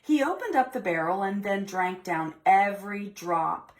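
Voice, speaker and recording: A woman reading a storybook aloud to preschoolers in an expressive, theatrical, deliberate way. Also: mid-range voice. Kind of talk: expressive storybook read-aloud for young children.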